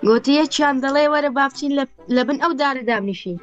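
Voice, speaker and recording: A woman telling a joke in animated storytelling style, acting out sound effects over a video call. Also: sits high, rapid-fire, muffled recording, faint music behind.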